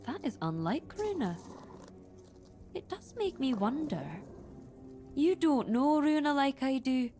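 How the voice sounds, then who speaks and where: Measured high-voiced chieftain. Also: female voice. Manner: deliberate, formal, weighty phrasing. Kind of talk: dramatic fantasy game dialogue. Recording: music behind the voice.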